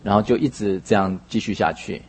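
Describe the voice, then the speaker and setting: Husky male sermon interpreter. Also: fairly low pitch, a little fast.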